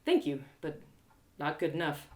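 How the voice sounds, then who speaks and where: A woman's voice: low voice, big pitch swings, moderate pace. A woman voicing a plucky, teasing young heroine who is learning to fight, in an audio drama. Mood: apologetic. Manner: teasing, eager. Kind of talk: one-woman audio drama character acting.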